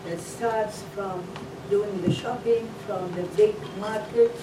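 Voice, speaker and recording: A middle-aged woman from Iraq telling her family cooking story on a panel, slowly and with many fillers. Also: medium pitch, fairly even intonation, heavy background noise. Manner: slow, halting, many fillers. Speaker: food truck co-owner, guest. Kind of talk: panel talk, personal story. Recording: roomy, distant mic, loud ambience.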